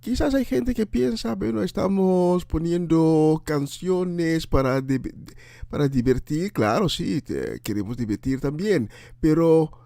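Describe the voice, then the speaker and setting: Guy with doing radio preaching and shoutouts, kinda creaky voice, halting but emphatic, dry close sound. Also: fairly high pitch, animated, no echo. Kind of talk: informal on-air preaching and greetings.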